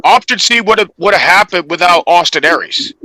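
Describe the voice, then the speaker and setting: Bright, sharp-voiced man, fairly high. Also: animated, moderate pace, rough recording. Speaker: podcast co-host. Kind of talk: casual wrestling podcast chat.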